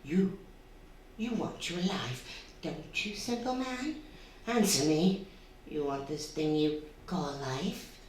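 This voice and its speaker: In a cavernous, distant-sounding hall, a bloodthirsty female spirit from a radio play. Her voice is low and slow, menacing and taunting as she coaxes. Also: animated, a little mellow. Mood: sinister, commanding. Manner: menacing, coaxing, cackling, drawn-out theatrical delivery. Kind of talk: stage radio drama acting. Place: theatre stage before a live audience. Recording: very echoey hall, distant, faint noise.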